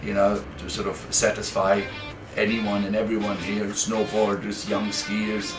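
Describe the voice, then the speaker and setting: A middle-aged man, a mountain manager talking in an interview in a relaxed, rambling way. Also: on the low side, measured pace, over music. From Switzerland. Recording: distant echoey mic.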